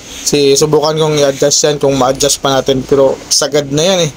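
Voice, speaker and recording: A man with a slightly high voice talks casually as a motovlogger. He is chatty and keeps turning to his listeners with short tag questions, as if talking with friends. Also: level tone, normal speed, a little hiss or hum. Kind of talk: casual vlog chat to viewers. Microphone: old cellphone, no intercom.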